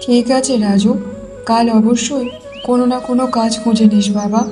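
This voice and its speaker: A woman's voice: on the high side, fairly even intonation, moderate pace, over music, close to an everyday voice. A woman playing a poor, elderly village mother in a cartoon, speaking in a plaintive, affectionate nagging way. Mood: anxious, affectionate. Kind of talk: Bengali cartoon story dialogue.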